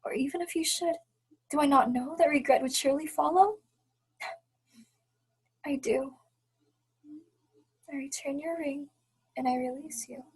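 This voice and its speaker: A woman's voice: high voice, measured pace, long pauses, a little mellow. A young woman playing a heartbroken but resolute, dignified fiancée in a radio play, speaking earnest, formal period English. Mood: wounded, resolute. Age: young adult.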